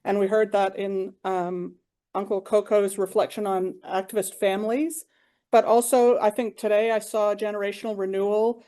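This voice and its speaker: A woman's voice: mid-range voice, flat delivery, moderate pace, warm tone. A woman facilitating a conference session, speaking informally and appreciatively as she offers closing reflections. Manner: appreciative, encouraging. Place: conference hall, live talk.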